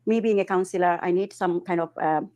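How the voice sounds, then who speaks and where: Earnest woman from Bangladesh, halting. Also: on the low side, fairly even intonation, darkish tone. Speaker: interview guest, councillor. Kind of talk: personal testimony in interview.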